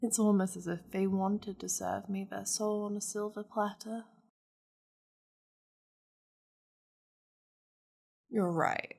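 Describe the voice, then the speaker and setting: A woman voicing a seductive, possessive demon lady in an ASMR roleplay, languid and teasing, slipping into breathy half-whispers. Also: medium pitch, flat delivery, normal speed, darkish tone. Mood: smug, seductive. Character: seductive, possessive powerful demon lady doting on her pet.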